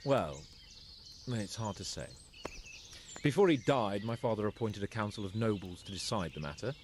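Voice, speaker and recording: A man's voice: fairly low pitch, animated, normal speed, a little hiss or hum, close to an everyday voice. An actor playing an imperious, teasing young nobleman with a British accent in a video game cutscene. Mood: haughty, matter-of-fact. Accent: British English. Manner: imperious, mocking, aristocratic. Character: haughty, teasing young nobleman, not yet of age.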